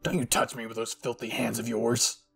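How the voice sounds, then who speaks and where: Casting: male reader as stern young captain, irritable, growling and clipped, gravelly edge, slightly husky, faint music underneath. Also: medium pitch, completely flat delivery, moderate pace, a bit bright, exaggerated voice. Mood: cold, harsh. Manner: curt, harsh, growling delivery. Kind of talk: anime fan fiction roleplay reading.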